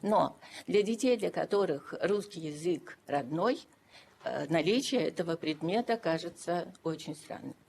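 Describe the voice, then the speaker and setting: A woman giving formal remarks at an official meeting, deliberate and emphatic, repeating key phrases for weight. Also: lowish voice, animated, creaky, darkish tone. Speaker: education expert addressing a council. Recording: noisy videoconference audio.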